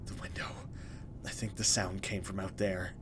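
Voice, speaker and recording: A man's voice: low-pitched, flat delivery, moderate pace, fairly bright tone. Man narrating a game, faint background noise. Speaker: let's play host. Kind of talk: dramatic game narration with casual reaction commentary.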